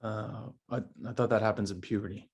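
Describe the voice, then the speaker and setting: Man acting a low-voiced, hesitant husband. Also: steady tone, brisk, a little mellow, natural voice. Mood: awkward, bewildered. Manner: hesitant, understated. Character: mild, bewildered young husband, expectant father. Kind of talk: acted screenplay table read. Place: remote video call.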